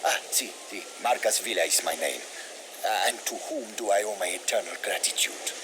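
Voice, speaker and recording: An actor playing an eccentric, pious and self-righteous missionary, grandiose and excitable, in a video game cutscene. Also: male voice, sits low, brisk, background noise, close to an everyday voice. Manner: grandiose, excitable, sing-song rhetorical questions.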